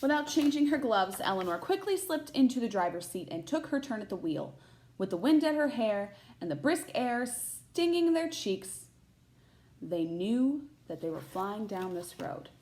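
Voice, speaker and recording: A woman's voice: a little high, big pitch swings, moderate pace, a little mellow, poor audio. A woman teacher reading a children's picture book aloud expressively, with short asides to her listeners.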